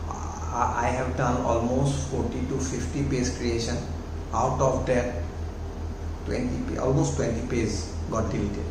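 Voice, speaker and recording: A young man from Assam with an Assamese accent, English not his first language, giving halting casual advice to camera on a lapel mic at home, with many restarts and fillers. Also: medium pitch. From Assam, India. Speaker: YouTube host. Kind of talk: casual talking-head advice. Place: small room at home, indoors. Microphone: clip-on lapel mic. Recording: very echoey room with audible noise.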